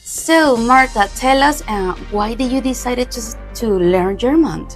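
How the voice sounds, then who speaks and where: A woman's voice: a little high, a little slow, soft background music. Upbeat woman interviewer. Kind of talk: interview in English and German.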